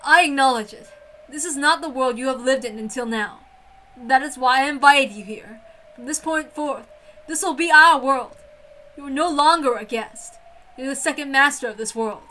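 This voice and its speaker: A woman's voice: sits high, moderate pace, bright, forceful tone, slight background noise, rough recording. A woman voicing a grand, possessive witch in formal, theatrical speech, lonely and insistent, as in a visual novel reading. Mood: baffled, pleading.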